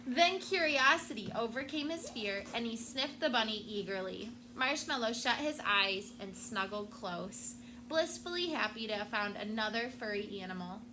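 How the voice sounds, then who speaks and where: A fairly high-voiced woman teacher reads a storybook aloud to her class, expressive and lively in the telling, pausing to explain words along the way. The recording carries steady classroom noise behind her. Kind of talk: reading a picture book aloud to a class.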